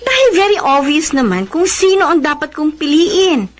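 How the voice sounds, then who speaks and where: A playful young Filipina sidekick in a radio drama. She teases in a high, swooping voice, gushing with slangy glee. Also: very animated, normal speed. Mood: teasing, giddy. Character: teasing, giddy young female best friend.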